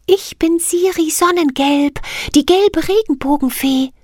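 A woman reading a storybook voices a tiny, cheerful female fairy with a bright, bell-like voice. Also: very high-pitched, unhurried, dry recording, studio quality, pitched above the performer's own voice. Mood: excited. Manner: excited, later trembling.

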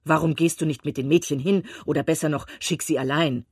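A woman's voice: low voice, fairly even intonation, a little fast, darkish tone, slightly rough, no echo. A woman reading a dry, teasing old husband. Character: dry, ironic, unbothered older husband.

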